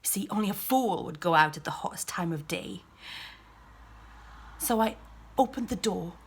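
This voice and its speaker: A woman's voice: mid-range voice, expressive, normal speed, slightly rough. A woman performing a first-person dramatic monologue as a weary outcast Samaritan woman, confiding and dropping to whispers. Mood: recounting. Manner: confiding, shifts between loud cries and hushed whispers.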